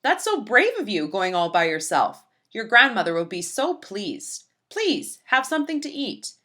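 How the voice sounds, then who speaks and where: Woman voicing a gracious, animated storybook doe. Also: medium pitch, measured pace. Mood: admiring. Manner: polite, welcoming, encouraging. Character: gracious, kindly woodland doe hosting a tea party.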